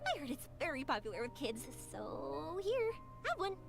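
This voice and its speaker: An actress voicing a cheerful, talkative young woman in a game, speaking in a bright, encouraging way. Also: high-pitched, very animated, normal speed, creaky, over music, exaggerated voice. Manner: bright, encouraging, sing-song. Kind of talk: game voice acting.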